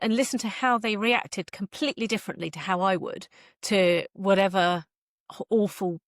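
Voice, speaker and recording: Middle-aged female author for a casual interview: mid-pitched, self-deprecating, thinks out loud, very dry recording. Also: dry recording. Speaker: guest author and former magazine journalist.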